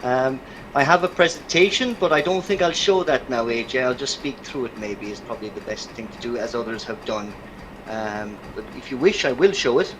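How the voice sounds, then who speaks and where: A man with an Irish accent giving an informal, reflective academic presentation in measured phrases over an online call. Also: mid-range voice. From Ireland. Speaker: university academic guest speaker. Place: video call. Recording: noisy online call audio.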